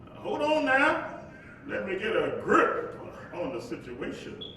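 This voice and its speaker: A man acting a playful, devoted young husband in a staged play reading, with a halting, storytelling delivery. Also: high voice, huge pitch swings, bright, forceful tone, full character voice. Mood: teasing. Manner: halting, repeats words, chuckling delivery. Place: large echoey room, live staged reading. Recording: very echoey live hall, distant mic, faint noise.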